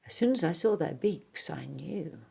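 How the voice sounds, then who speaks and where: A woman voicing a gentle little male teddy bear in a soft, simple, agreeable whisper. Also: low-pitched, expressive, measured pace, vocal fry, breathy edge, full character voice. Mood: sweet.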